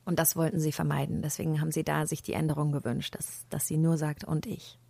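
A woman voice actress being interviewed, speaking in a lively, friendly way and laughing often. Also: low voice, fast talker, creaky, breathy edge, dry recording. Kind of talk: casual interview chat.

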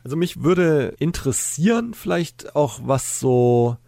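A man's voice: fairly high pitch, lively intonation, unhurried, vocal fry, warm tone, dry recording. A man talking as a podcast guest in a casual, conversational way, thinking aloud as he goes.

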